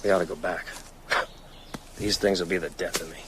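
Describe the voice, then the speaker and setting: An actor playing a weary, haunted man out to hunt vampires, brooding and halting in a radio drama. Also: low-pitched, steady tone, very noisy, natural voice. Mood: weary resolve.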